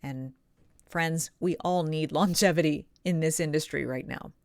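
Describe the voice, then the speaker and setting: A woman presenting instructional advice as a coach, with emphatic, deliberate stresses on key words. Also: low voice, darkish tone, dry recording, clean studio sound. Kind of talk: instructional advice talk to camera.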